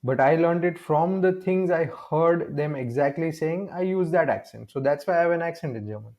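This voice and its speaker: A young man from India talks through his experiences in a mellow, relaxed voice. He tells stories with energy, acts out the other voices in a conversation, and often restarts his sentences as he goes. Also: fairly high pitch, moderate pace. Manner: animated storytelling, acts out dialogue, many restarts. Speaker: guest. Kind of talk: casual conversational interview.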